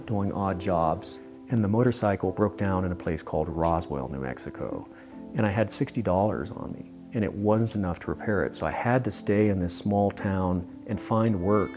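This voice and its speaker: Low-voiced thoughtful middle-aged man. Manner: measured, thoughtful, uses figures of speech. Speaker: journalist interviewee. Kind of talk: reflective interview answer. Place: study with bookshelves, indoors. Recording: music bed under voice.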